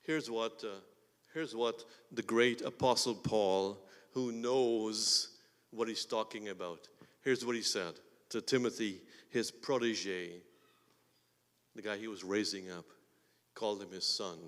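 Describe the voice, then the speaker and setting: Lively preacher with a Guyanese lilt. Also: male voice, on the low side, slow pace. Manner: animated preaching with sudden loud emphatic bursts. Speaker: pastor. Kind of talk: sermon. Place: church sanctuary. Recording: long hall reverb.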